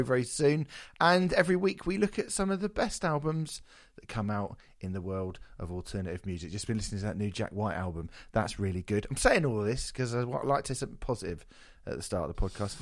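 Slightly hoarse, sweary male podcast host. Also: lowish voice, animated, fast, no room sound, studio quality. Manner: sweary, self-deprecating, jokey. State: slightly hoarse, sore throat from singing. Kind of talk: casual music podcast chat.

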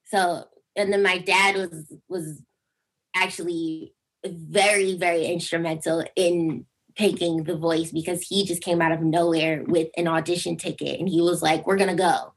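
A young woman with a squeaky speaking voice, a singer chatting over a video call and telling stories with lively acted-out quotes. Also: moderate pace, slightly rough, poor audio. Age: young adult. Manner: animated storytelling, acts out quotes with big pitch jumps. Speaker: singer guest. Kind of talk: casual interview chat.

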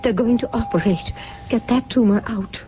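In a dull old radio recording, an actress plays an anxious, clinging woman. Her voice sits fairly high and pleads with radio drama urgency. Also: moderate pace, slight background noise, close to an everyday voice. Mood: anxious, pleading. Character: anxious, pleading mother clinging to a dying man. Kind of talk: 1970s radio drama acting.

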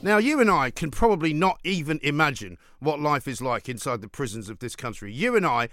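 British man hosting talk radio, slightly high-pitched. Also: lively intonation, fairly quick, fairly bright tone, no room sound. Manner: emphatic, sardonic, rhetorical. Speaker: radio talk show host. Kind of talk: opinionated talk radio monologue and interview.

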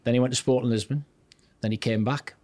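Older north-east england bloke on a podcast, rambling storyteller, keeps restarting sentences. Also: male voice, medium pitch, fairly even intonation, fast, mellow, no echo. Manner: chatty, rambling, restarts sentences, acts out quotes. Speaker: podcast guest, former goalkeeping coach. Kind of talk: casual anecdotal storytelling.

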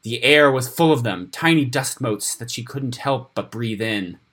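A man narrates a fantasy story in a dramatic style. His voice sits at a middle pitch and has a husky, rough-edged texture. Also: lively intonation, unhurried, fairly bright tone. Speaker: story reader on a podcast.

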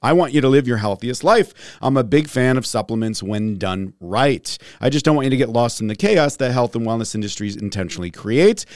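A man hosting a wellness podcast, upbeat and joking, with his voice raspy from a cold. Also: medium pitch, no room sound, clean studio sound. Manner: upbeat, joking, prone to rants. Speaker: podcast host, pharmacist. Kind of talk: casual podcast chat. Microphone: studio mic.

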